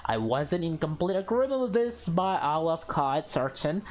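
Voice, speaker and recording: A woman reads a visual novel aloud as an amateur narrator, halting and rereading lines as she stumbles. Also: low voice, sing-song, a little hiss or hum. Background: background music.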